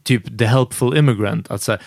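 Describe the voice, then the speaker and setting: A man's voice: medium pitch, fairly quick, vocal fry, a little mellow, no room sound, clean studio sound. A man co-hosting a casual podcast, asking curious questions and throwing in jokes. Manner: curious, joking interjections. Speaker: podcast co-host.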